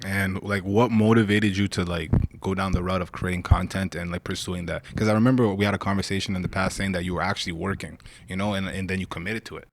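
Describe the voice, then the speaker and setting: A man's voice: low voice, fairly even intonation, quick pace, few pauses. A man hosting a podcast, talking in a laid-back way full of "you know" and "like" as he asks his guest questions. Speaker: podcast host. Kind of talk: casual podcast interview.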